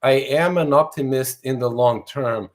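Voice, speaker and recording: A man's voice: mid-range voice, measured pace. A man in his sixties from Portugal, an author answering interview questions in an earnest, explanatory way. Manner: earnest, explanatory, fluent with some restarts. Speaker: author and guest.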